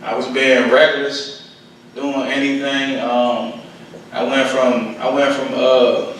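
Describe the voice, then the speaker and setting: A man's voice: medium pitch, level tone, slow pace, a bit bright. A man with a Washington DC accent speaking plainly and colloquially to an audience as a guest poet and speaker, recorded from a distance in a very echoey room with faint noise. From Washington DC, United States. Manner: plain-spoken, colloquial storytelling. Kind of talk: poem recital and personal testimony to an audience. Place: echoing venue at a conference.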